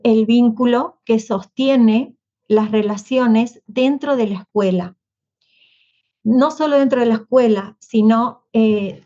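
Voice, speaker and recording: Woman, school principal, slightly high voice, unhurried, frequent fillers, muffled audio. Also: a little mellow. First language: Spanish. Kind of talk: prepared panel answer.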